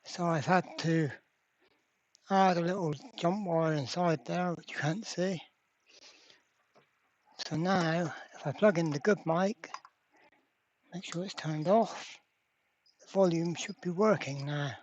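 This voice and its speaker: A repair channel host giving a casual hands-on demonstration at a workbench, halting and thinking aloud while working. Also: medium pitch, darkish tone, highs cut off. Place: indoor workbench.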